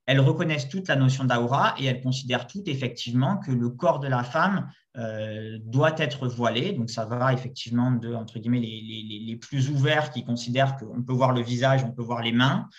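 A man argues his view in a relaxed spoken exchange, stopping often to restart his phrases. His voice sits in a medium register. The sound is a bit dull and lo-fi. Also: mid-range voice, brisk, hardly any pauses. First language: not Arabic. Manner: explanatory, many restarts and hesitations. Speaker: discussion guest. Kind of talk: casual spoken debate.

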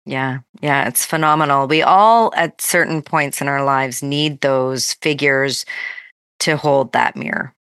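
Very low-voiced enthusiastic woman host. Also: a little slow, fairly bright tone, smooth, dry recording, clean studio sound. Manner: affirming, enthusiastic, frequent back-channel. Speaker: podcast host. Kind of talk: conversational interview.

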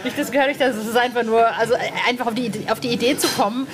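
A woman's voice: a little high, steady tone, racing, crowd noise. A woman interviewing a guest in a casual chat, informal and eagerly agreeing, with an enthusiastic manner. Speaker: podcast interviewer. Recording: clear background noise, ambient sound.

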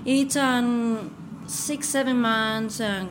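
A woman's voice: a little high, steady tone, very slow. A middle-aged woman from Ecuador, a tour guide talking earnestly in an interview and often repeating and restarting her words. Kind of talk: casual interview. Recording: noticeable background noise.

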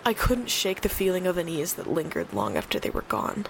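A woman's voice: lowish voice, sing-song, a little fast, vocal fry, slight background noise. A woman playing an uneasy late-night radio host in an audio drama, speaking in a hesitant, wry, conversational way. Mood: uneasy storytelling.

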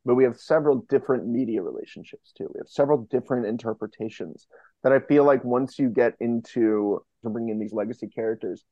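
A dull, slightly lo-fi and dry recording of a man as a podcast guest. His voice is mid-pitched. He talks conversationally but analytically, stressing his points through parallel phrases. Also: fast, no echo. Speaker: podcast guest, fiction podcast co-creator. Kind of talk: conversational interview answers. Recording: dull, lo-fi sound.

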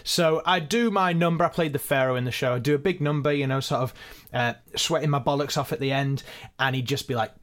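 A man's voice: mid-range voice, fairly quick, a bit bright. A man presenting a casual, self-deprecating behind-the-scenes look with anecdotes. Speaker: actor, editor and presenter.

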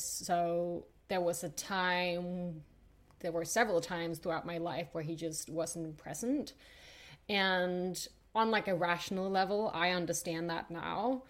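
A young woman from Denmark telling her personal story as a podcast guest, thoughtful and hesitant with many pauses and fillers. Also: lowish voice, fairly even intonation.

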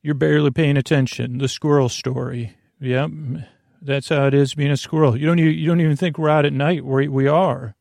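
A man with a creaky voice hosting a podcast in a meandering, halting monologue, often wandering off on tangents and interrupting himself. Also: medium pitch, fairly even intonation, a little mellow, no room sound, studio quality. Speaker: podcast host. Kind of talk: rambling bedtime podcast monologue.

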